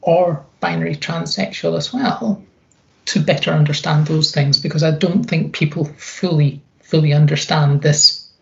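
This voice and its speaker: A middle-aged woman talks as a guest in a reflective interview. Her voice is deep and heavily gravelled, and she leans on repeated phrases to make her point. The recording sounds a little dull and lo-fi. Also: steady tone, normal speed.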